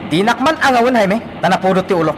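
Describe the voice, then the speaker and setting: An urgent, exasperated male rebel commander with an Ilocano Filipino accent. He scolds and orders in quick, very animated speech. There is clear vehicle and outdoor noise behind him. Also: sits high. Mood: exasperated, scolding. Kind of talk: radio drama acting. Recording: noisy outdoor and vehicle ambience.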